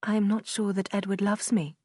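A woman voicing a sensible, kind and restrained young lady in an audiobook, reasoning gently and earnestly. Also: mid-range voice, little pitch movement, brisk, no echo, clean studio sound, natural voice. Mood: doubtful, quiet. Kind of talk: audiobook character acting in a simplified classic novel.

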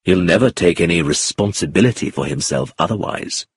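A man voicing a sensible, cautious guardian who gives measured advice in an audiobook. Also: sits low, animated, husky, no room sound, pitched below the performer's own voice, heavily acted. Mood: resigned. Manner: measured, advising. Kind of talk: audiobook character voice.

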